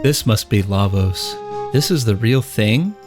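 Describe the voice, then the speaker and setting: A man's voice: fairly low pitch, unhurried. A man with a stuffy head cold, hosting a let's play and chatting casually as he plays and narrates. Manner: relaxed, chatty, thinks aloud while playing. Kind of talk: game commentary and voiceover narration. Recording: faint game music behind.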